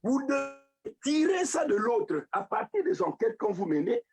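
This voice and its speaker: A man's voice: very high voice, wildly swooping pitch, measured pace, a little mellow, husky. A man from Congo, a professor speaking emphatically on a video call, repeating words as he stresses key points. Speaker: professor speaking at an online meeting. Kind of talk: impassioned lecture-style remarks.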